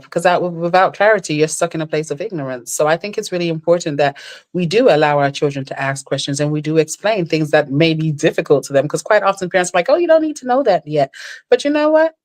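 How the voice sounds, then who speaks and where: Low-voiced animated woman storyteller. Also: fairly quick. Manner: animated storyteller, jumps high when quoting others. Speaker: guest. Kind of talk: casual chat.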